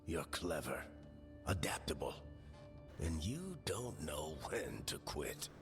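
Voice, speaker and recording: A man's voice: very deep voice, big pitch swings, slow, music in the background, exaggerated voice. A man playing a wry, fatherly, wounded veteran companion in a fantasy video game. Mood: earnest, encouraging. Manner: wry, encouraging.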